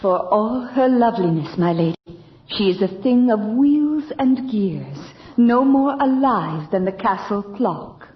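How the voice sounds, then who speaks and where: A woman's voice: mid-range voice, lively intonation, unhurried, close to an everyday voice. An actress playing a sly, fawning witch who serves a countess in a radio horror drama, gleefully insinuating and savoring her words. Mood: sly glee. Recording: muffled, echoey, faintly noisy old radio recording.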